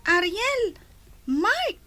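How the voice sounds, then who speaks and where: A woman's voice: extremely high pitch, very animated, extremely slow, sharp tone, faint music behind, full character voice. A woman playing a sweet, earnest young schoolgirl in a radio drama, with a Filipino accent. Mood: admiring, earnest. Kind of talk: Ilocano radio drama acting.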